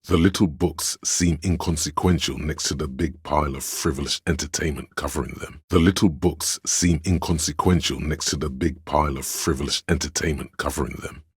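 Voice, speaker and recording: A man playing a cerebral, pretentious inner voice of artistic imagination in a game, narrating theatrically and deliberately in a gravelly, husky voice. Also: rumbling low, steady tone, dry recording, clean studio sound, full character voice. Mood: dry, literary. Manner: pompous, theatrical, deliberate diction. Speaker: game voice actor. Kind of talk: dramatic scripted game narration.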